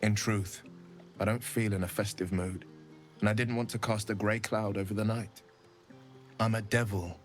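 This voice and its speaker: An actor playing a noble, self-deprecating young hero turned devil in a fantasy game, earnest, courtly and rueful. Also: male voice, on the low side, steady tone, moderate pace, pauses often, natural voice. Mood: rueful, melancholy. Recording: music behind the voice.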